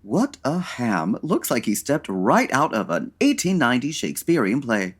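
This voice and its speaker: A man's voice: medium pitch, normal speed, breathy edge. A man playing a grumpy, theatrical male diva with a haughty, dramatic delivery in a comedic old-time radio show. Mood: disdainful. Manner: haughty, dramatic, deadpan refusals.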